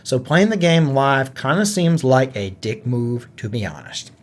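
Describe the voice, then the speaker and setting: A man's voice: mid-range voice, big pitch swings, unhurried. A man co-hosting a podcast in casual conversation, musing informally with lots of filler words like "like". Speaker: podcast co-host.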